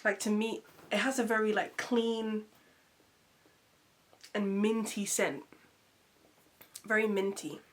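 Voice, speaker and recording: A woman reviewing perfumes in a casual vlog. She sounds nasal and muffled from a cold, and she talks in a chatty, expressive way with lots of fillers. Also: medium pitch, slow, long pauses. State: congested from a cold.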